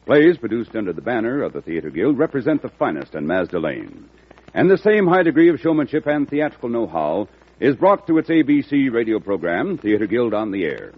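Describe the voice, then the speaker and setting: A man's voice: mid-range voice, very animated, fairly quick, breathy edge. A man announcing in the promotional style of 1940s radio, reading scripted copy. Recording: tinny, narrow-band old radio recording.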